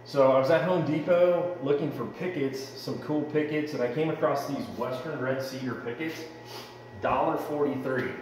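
A man's voice: mid-range voice, moderate pace, darkish tone, far from the mic, slight background noise. A man in his thirties casually walking viewers through a DIY woodworking project, chatty and rambling, in a large unfinished basement. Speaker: home renovation vlogger. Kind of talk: casual how-to explanation. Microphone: camera-mounted mic, a few steps away. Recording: roomy echoey basement, voice off-mic.

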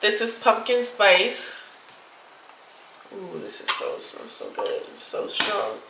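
A woman's voice: mid-range voice, wildly swooping pitch, slow pace. Woman around thirty, stuffy nose, chatty vlog tone, echoey room, clatter and noise behind. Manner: chatty, addresses viewers as y'all. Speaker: vlogger. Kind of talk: casual haul vlog. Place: her apartment, indoors.

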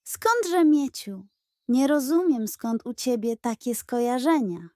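Casting: storybook pug played by a woman, raised pitch, proud and theatrical, grand solemn delivery, crafty streak. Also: lively intonation, takes it slowly, dark tone, no room sound, clean studio sound. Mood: theatrically indignant. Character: cunning, pompous male pug with grand speeches.

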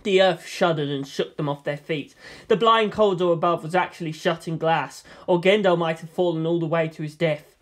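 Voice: mid-range voice, fairly quick. A narrator reading a story aloud in a dramatic, scripted style. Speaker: audiobook narrator.

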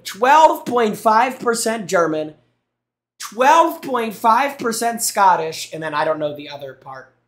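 A man's voice: very high-pitched, a bit bright, roomy sound. A young man streaming on a headset mic in a small room, reacting to gameplay clips with teasing jokes and thanking his chat. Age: young adult. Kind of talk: casual live-stream commentary.

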